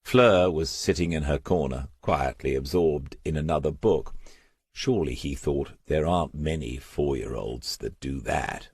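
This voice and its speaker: A man reading scripted crime fiction as an audiobook narrator, in a measured, understated way. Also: extremely low pitch, a little mellow, slightly rough, no room sound.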